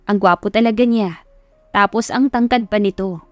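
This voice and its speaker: A woman's voice: mid-range voice, normal speed, dry recording, faint music behind. A woman reading a scripted love story as its narrator.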